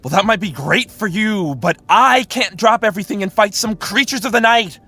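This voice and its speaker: Teen class president, male, actor-played: exasperated, indignant, sharp high voice, audio drama. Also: moderate pace, exaggerated voice. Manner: indignant, incredulous. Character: exasperated, self-important teenage boy class president.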